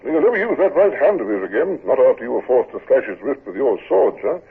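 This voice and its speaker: A steady, loyal ship's captain with a raspy, gravelly voice that rises and falls a lot. He answers his commander deferentially and reassuringly. The sound is thin and tinny, like an old radio broadcast. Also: mid-range voice, fast, exaggerated voice. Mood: earnest. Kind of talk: 1940s radio drama acting.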